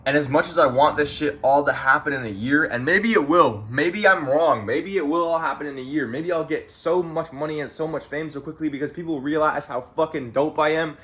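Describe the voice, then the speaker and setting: A man's voice: a little high, brisk, few pauses, sharp tone. Rambling, self-hyping young man. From Canada. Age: young adult. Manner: rambling, self-hyping, profane, repeats phrases, says "bro" a lot. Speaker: vlogger. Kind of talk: casual motivational monologue to camera. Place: at home.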